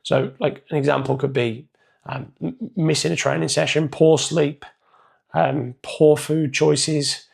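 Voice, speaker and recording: A man with a hoarse, croaky voice giving a casual, chatty motivational coaching talk. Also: fairly high pitch, fairly even intonation, measured pace. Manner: casual, chatty, loosely structured. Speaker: performance coach.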